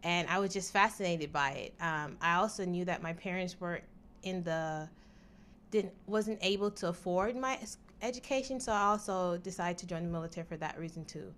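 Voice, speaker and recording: A woman's voice: lowish voice, moderate pace, a bit bright. A woman, answering interview questions in a studio in an easygoing, reflective way. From Jamaica. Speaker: interview guest.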